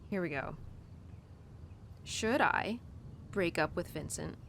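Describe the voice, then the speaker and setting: A woman's voice: lowish voice, lively intonation, slow pace, gravelly, fairly bright tone, slight background noise, exaggerated voice. A woman playing a young, animal-loving cowgirl who confides tenderly in her horse in an intimate, casual, rambling audio roleplay. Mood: relieved, playful. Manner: casual, rambling, sighing and giggly.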